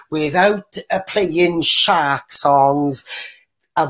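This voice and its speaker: Blunt deep-voiced woman on a video-call panel. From Wales. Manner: blunt, rambling, mimics others when quoting. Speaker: panel guest. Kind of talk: casual opinionated chat.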